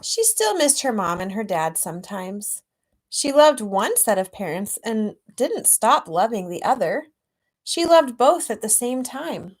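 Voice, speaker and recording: Lady with a highish voice reading a kids book out loud, gentle n expressive, chats to the kids a bit. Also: measured pace. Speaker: children's author reading own book aloud. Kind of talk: storybook read-aloud with chatty asides to children.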